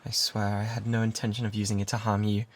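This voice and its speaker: A man with a British accent playing an obsessive, lovesick pirate in an intimate ASMR roleplay, breathy and often whispering, pleading theatrically and devotedly. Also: on the low side, monotone, quick pace. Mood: apologetic. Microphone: close binaural microphone. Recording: close binaural recording with background ambience noise.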